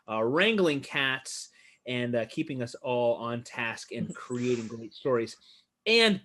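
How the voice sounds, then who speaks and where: A man hosts a casual livestream over a video call, upbeat and chatty. His voice sits at an ordinary middle pitch. He laughs often and trails off into filler words as he thanks people. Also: a bit bright. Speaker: host.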